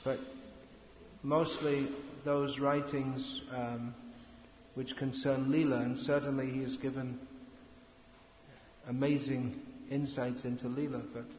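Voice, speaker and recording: Man lecturing slowly in a big reverberant hall, muffled lo-fi sound, halting delivery. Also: medium pitch, level tone. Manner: slow, halting, with pauses and restarts. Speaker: speaker giving a spiritual lecture. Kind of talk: slow philosophical lecture. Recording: echoey hall, lo-fi with faint noise.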